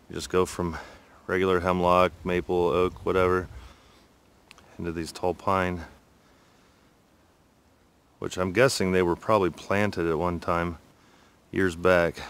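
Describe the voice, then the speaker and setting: A man's voice: sits low, smooth. A middle-aged man casually narrating a hike in the woods, talking in an easygoing, rambling way, recorded on a handheld phone outdoors. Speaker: hiking vlogger. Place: outdoors in a forest.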